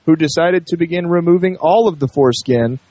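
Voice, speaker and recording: A man's voice: a little high, a little fast, warm tone, no room sound. A man of thirty co-hosting a casual radio talk show, explaining at length as he reads aloud. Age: 30s. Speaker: co-host.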